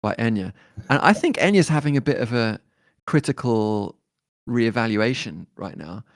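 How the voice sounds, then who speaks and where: A man, talking as a guest musician in a relaxed interview, thoughtful and hesitant with many fillers and restarts. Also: mid-range voice, normal speed, no echo, clean studio sound. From born in Detroit, raised in London.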